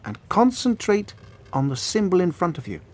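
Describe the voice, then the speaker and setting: An actor playing a vain, pompous celebrity wizard teacher in a video game, theatrical and self-congratulating. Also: male voice, high voice, very animated, normal speed, a little mellow. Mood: smug, showy. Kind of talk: video game character acting.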